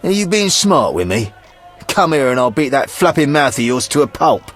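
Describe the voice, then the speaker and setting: A belligerent, boastful brawler from a fantasy game. His voice is on the higher side and thuggishly aggressive, with faint music underneath. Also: normal speed, fairly bright tone. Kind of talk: fantasy video game dialogue.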